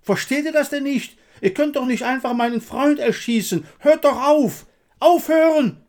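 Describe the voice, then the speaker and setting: Man acting out a frightened, desperate soldier, high-pitched. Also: moderate pace, a little mellow. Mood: desperate pleading. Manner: pleading, agitated outbursts. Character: pale, frightened young soldier, bitter and desperate. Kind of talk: dramatic literary war story reading.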